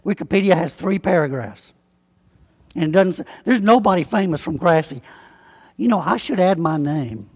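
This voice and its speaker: Man with a southern accent, pastor, folksy sermon, higher voice, husky edge, long hall echo. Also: lively intonation, a little mellow. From Alabama, US. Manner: folksy, conversational preaching with slow emphatic spelling-out.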